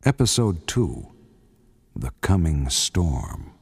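A man narrating a radio drama from a script in a solemn, deliberate, announcer-like manner. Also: a bass voice, big pitch swings, gravelly, full character voice.

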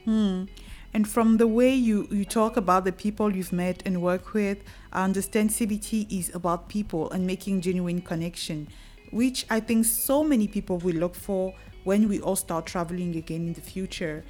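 A woman hosting an interview, asking her guest questions in a steady, friendly way. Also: medium pitch, normal speed, faint music behind. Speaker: interviewer, host.

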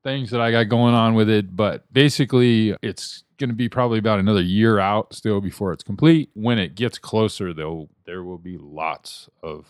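A man with a fairly low voice speaks as a podcast guest. The sound is dry and close, and his delivery is casual and conversational. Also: moderate pace, hardly any pauses, smooth, no echo. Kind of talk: casual chat.

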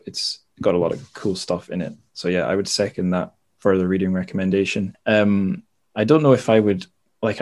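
A man's voice: lowish voice, darkish tone. A man hosting a podcast, chatting casually about books in an informal, rambling, self-deprecating way. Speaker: podcast host. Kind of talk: casual book chat.

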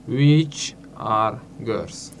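Slow, halting man. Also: on the low side, a little hiss or hum. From Slovakia. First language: Hungarian. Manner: halting, searching for words. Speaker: guest. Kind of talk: casual interview answers.

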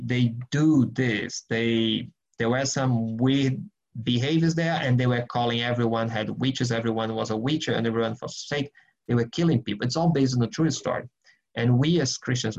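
Man, mid pitch, gravelly, group study leader on a lo-fi video call. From Brazil. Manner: earnest, rambling, frequent restarts and fillers. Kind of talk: casual teaching and prayer in an online group session.

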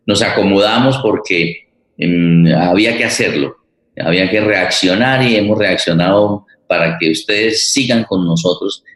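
An older man with a Colombian accent hosting an unscripted event, haltingly searching for his words. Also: sits low. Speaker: host and theatre director. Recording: roomy, echoing voice pickup.

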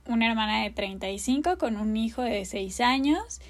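A woman's voice: a little high, expressive, normal speed. A Mexican woman in her thirties, hosting her own podcast and openly introducing herself in an earnest manner. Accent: Mexican Spanish. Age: 30s. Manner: open, earnest, warm self-introduction. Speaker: podcast host. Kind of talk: personal podcast monologue.

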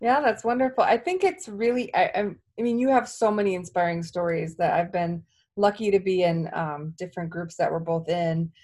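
A woman's voice: on the low side, normal speed, darkish tone. A woman hosting a podcast interview, chatty and a bit rambling as she sets up her questions. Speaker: podcast host. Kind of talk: casual interview talk.

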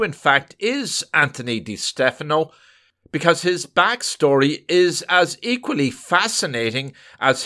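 A man hosting a podcast, reading a scripted introduction in a measured way. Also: fairly high pitch, a bit bright. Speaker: podcast host.

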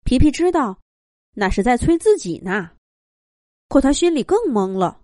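A woman telling a children's story with gentle, expressive narration. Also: on the high side, normal speed, dry recording, studio quality.

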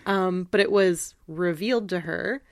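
A woman's voice: lowish voice, unhurried, no room sound, clean studio sound. A woman co-hosting a podcast, explaining her points in a casual, analytical and slightly wry way. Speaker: podcast co-host.

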